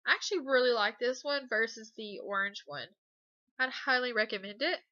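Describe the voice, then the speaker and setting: A woman's voice: on the high side, normal speed, dry recording, dull audio. A young woman with a stuffy cold voice, chatting casually and a bit hesitantly through a makeup tutorial voice-over. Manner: relaxed, hesitant, many ums and uhs. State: congested from a cold. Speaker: beauty vlogger.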